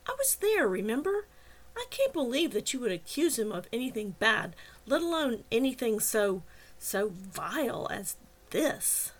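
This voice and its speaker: A woman's voice: fairly high pitch, big pitch swings, unhurried, low-quality recording. A woman voicing an angry, stubborn young woman in an audiobook, speaking in an emphatic, protesting way. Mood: indignant. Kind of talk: audiobook character dialogue.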